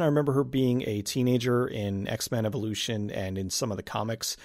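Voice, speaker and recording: Raspy-voiced man reviewing a movie. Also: medium pitch, darkish tone, no room sound, studio quality. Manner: enthusiastic, conversational, casual swearing. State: raspy, nearly losing his voice. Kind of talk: casual spoken movie review.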